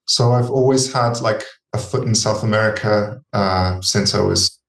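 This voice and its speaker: A man's voice: on the low side, normal speed, gravelly, roomy sound, poor audio. A man raised in the UK and Chile, a musician talking casually and hesitantly with many fillers. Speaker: musician, workshop participant. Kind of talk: casual conversation.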